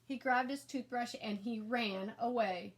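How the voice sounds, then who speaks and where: A woman narrating a children's storybook aloud in an animated, expressive and emphatic way. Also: on the high side, measured pace.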